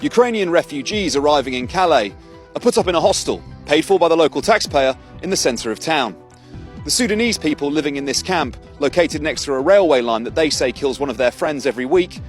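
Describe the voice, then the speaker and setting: Guy reading a news report, measured and pointed, mid pitch, music bed. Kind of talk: scripted news report narration.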